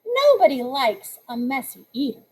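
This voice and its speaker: A woman's voice: sits high, very animated, moderate pace, husky, exaggerated voice. A woman reading aloud, voicing a prissy know-it-all schoolgirl who lectures on manners in a preachy, sing-song way. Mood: prim.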